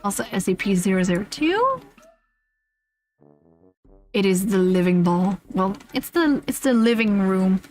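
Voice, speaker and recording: A woman's voice: mid-range voice, very animated, measured pace, long pauses, a little mellow, faint music behind. A woman streamer reading a comic list aloud on a livestream, giggly and often breaking off into casual asides.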